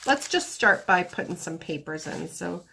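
Casual craft host, woman, stuffy-nosed, gravelly low voice, unhurried rambling delivery, noisy tabletop recording. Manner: chatty, rambling, relaxed while working with her hands. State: stuffy nose from a cold. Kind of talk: casual craft tutorial talk. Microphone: camera above a tabletop, hands only.

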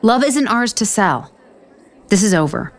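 A woman's voice: medium pitch, lively intonation, a little slow, close to an everyday voice. Actress as a frank, lovelorn woman author. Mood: defiant. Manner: frank, rambling, profane. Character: earnest, self-deprecating, foul-mouthed lovelorn woman author. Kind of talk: romantic comedy audio drama acting.

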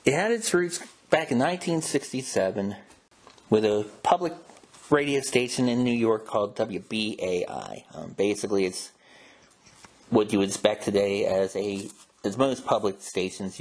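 Low-voiced man hosting casually. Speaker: host. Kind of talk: casual informative talk on old radio show history.

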